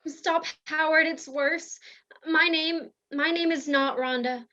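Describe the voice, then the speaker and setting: A woman playing a high-voiced lovestruck soap heroine. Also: steady tone, slow, pushed and bright, heavily acted. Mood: confessing. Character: melodramatic lovestruck soap-opera woman hiding secrets. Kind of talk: radio play soap opera parody. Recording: dull lo-fi recording.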